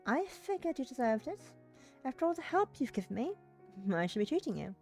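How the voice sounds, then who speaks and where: A woman's voice: sits high, very animated, a little fast, a little mellow, soft background music, voice pushed up. A woman voicing a visual novel heroine, a polite, playfully chiding young woman. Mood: teasing, grateful.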